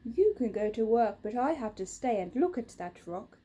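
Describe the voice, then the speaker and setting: Lady doing a fretful complaining storybook wife, high plaintive voice, kinda husky, really leans on her words. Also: sing-song, normal speed, dark tone. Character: fretful, complaining housewife, prone to tearful wailing.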